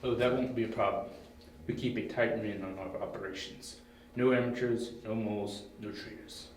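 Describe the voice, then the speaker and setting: A man acting a smug, ruthless crime boss who speaks with deliberate menace in an amateur audio drama. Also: lowish voice, fairly even intonation, lightly acted. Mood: menacing. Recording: very echoey, distant, faint noise.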